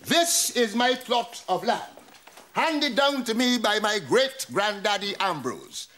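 A boastful older barber. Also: male voice, high-pitched, big pitch swings, slow pace, a bit bright, slight background noise, exaggerated voice. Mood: grandiose. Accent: Guyanese. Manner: pompous, boastful. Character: boastful older male barber, self-important. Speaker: barber shop owner. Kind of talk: sitcom acting.

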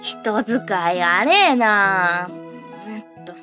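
A woman's voice: mid-range voice, lively intonation, very slow, sharp tone, soft background music, exaggerated voice. A woman playing a mischievous tomboyish young witch in an anime-style fan voice drama, brash and boyish in her speech. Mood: teasing, grumbling.

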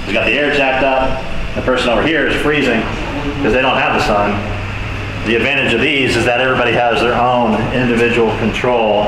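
A man's voice: medium pitch, noisy background. A middle-aged man, an architect, casually explaining features as he leads a walking tour through a building under renovation, with many fillers. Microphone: camera from a distance. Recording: echoey bare room from far off.

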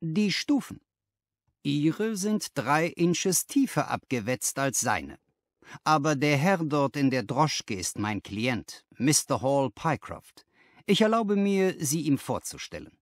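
A man voicing a brisk, exacting gentleman detective, precise and emphatic in an audiobook. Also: a little high, big pitch swings, no room sound, studio quality.

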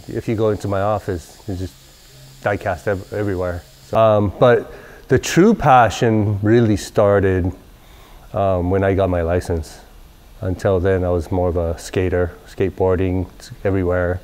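A man in his forties from Southern California, talking casually in an interview in a large workshop, relaxed and rambling with many fillers. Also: sits low. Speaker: company president. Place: large workshop garage, indoors.

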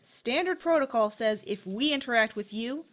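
A woman's voice: on the high side, expressive, normal speed, a bit bright. A woman playing a brusque, scornful otherworldly agent of death in an audio horror drama, curt and scolding. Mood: scornful, impatient.

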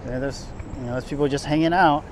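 High-pitched man rambling over street noise. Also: fairly even intonation, fast. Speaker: vlogger giving a walking tour. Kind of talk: casual, rambling walking commentary. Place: outdoors, walking on downtown sidewalks. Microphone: handheld camera while walking. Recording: clear outdoor city street noise, traffic.

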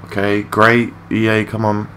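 A young man with a low voice giving rambling, matey, sweary gameplay commentary in a casual unscripted way. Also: monotone, measured pace, a bit bright. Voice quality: low-pitched voice. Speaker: gaming video creator. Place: his room at home. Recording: clearly noisy home mic recording.

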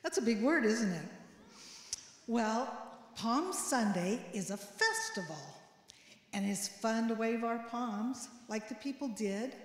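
An older woman leads a children's message in a large church sanctuary, with long reverb around her. Her voice sits fairly high and swings in a lively way as she asks simple questions and echoes the replies. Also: slow. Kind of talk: interactive children's sermon.

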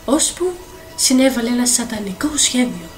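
A woman narrator reading a dramatic, scripted fairy tale for an animated film. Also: a little high. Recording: voice over clear film music.

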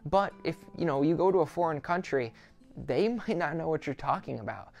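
A man's voice: fairly high pitch, lively intonation, fairly quick, vocal fry, faint music behind. A sixteen-year-old young man talking to camera as a host, explaining things in a reassuring, conversational way. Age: 16, teenager. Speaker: student pilot YouTuber, host. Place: small quiet home office, indoors.

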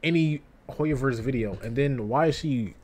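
A man's voice: mid-range voice, moderate pace, a little mellow. A young American man hosting a reaction video from his bedroom, casual and slangy, exclaiming and repeating his phrases. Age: young adult. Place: small bedroom at home.

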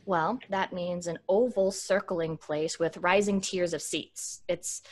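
A woman's voice: fairly low pitch, steady tone, takes it slowly. A woman playing a sensible, schoolteacherly leader of younger friends, explaining things properly in old-time radio drama style. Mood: patient, instructive.

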